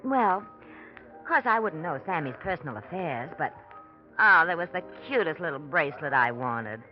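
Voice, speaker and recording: Coy noir gold-digger, animated, teasing, muffled old radio sound with faint music. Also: female voice, sits low, moderate pace. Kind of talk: 1940s radio acting.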